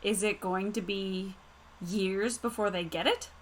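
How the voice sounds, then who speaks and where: A woman's voice: mid-range voice, fairly even intonation, measured pace, a little hiss or hum. A woman playing a tabletop roleplaying game, talking casually and reacting to the game master.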